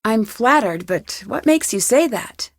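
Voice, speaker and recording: A woman's voice: a little high, lively intonation, a little slow, no echo, clean studio sound. An actress playing an inquisitive, polite young woman detective in a video game, speaking in an earnest, questioning way. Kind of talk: video game dialogue acting.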